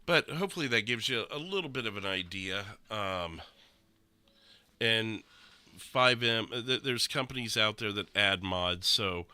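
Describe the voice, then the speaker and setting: A young man streaming a game, sounding nasal and rough after being sick, explaining things casually with lots of fillers. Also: on the low side, a little slow, no echo. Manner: relaxed, rambling explanations with many fillers. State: nasal and rough from recent illness. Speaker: streamer explaining a roleplay server. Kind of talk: casual gameplay commentary.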